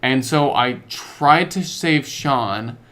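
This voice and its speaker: A man's voice: mid-range voice, slow, bright, forceful tone. A man chatting casually as a podcast co-host about video games, telling stories in slangy, informal language.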